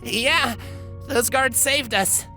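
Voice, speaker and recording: A woman's voice: high-pitched, slow, bright, forceful tone, faint music behind, pitched above the performer's own voice, heavily acted. A woman voicing a remorseful young pony ringleader with a sheepish, frank manner in a fantasy story. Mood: relieved.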